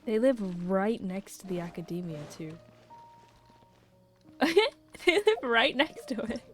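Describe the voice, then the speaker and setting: A woman's voice: medium pitch, big pitch swings, slow, fairly bright tone. A woman streaming a game, giving casual, reactive live commentary in a giggly way. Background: game music and dialogue.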